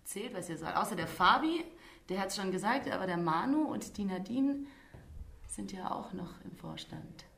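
A woman's voice: mid-range voice, lively intonation, normal speed, gravelly, a bit bright. A woman around thirty from Transylvania co-hosting a casual podcast, giggly and playful. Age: 30s. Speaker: podcast co-host.

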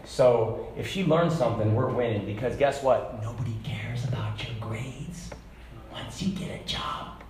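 A man preaching a sermon to a congregation, talking casually and then building to loud, fervent shouts. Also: medium pitch, unhurried, background noise. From Hong Kong. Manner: conversational preaching, rising to shouts. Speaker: pastor. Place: church sanctuary. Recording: echoey church room.

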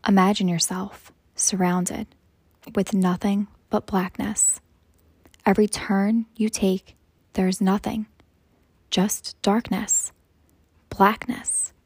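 A woman guides a meditation in a soft, breathy, hushed voice, measured and soothing, leaving long pauses between phrases. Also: mid-range voice, level tone, no echo. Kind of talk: slow guided meditation narration.

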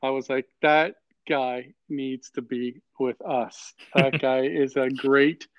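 A man hosting a podcast conversationally, effusive and halting as he repeats his phrases. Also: medium pitch, steady tone, no room sound, muffled recording. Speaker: podcast host.

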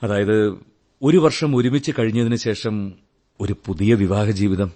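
An actor playing a wounded, world-weary young husband in a radio drama, sardonic and melancholy, speaking in measured phrases. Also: male voice, lowish voice, warm tone. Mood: melancholy, probing. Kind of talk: Malayalam radio drama acting.

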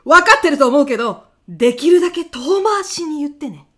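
A woman's voice: high-pitched, expressive, a little fast, a bit bright. A woman playing a whimsical, gossip-hungry female personnel manager in a comedy radio drama, with a melodramatic delivery. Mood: coy, expectant. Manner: melodramatic, refers to herself by surname.

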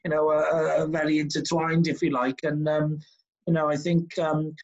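A man's voice: fairly high pitch, little pitch movement, normal speed, a little mellow, highs cut off. A man with a Welsh accent hosting a podcast, chatting casually over a video call with lots of fillers and restarts. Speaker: podcast host.

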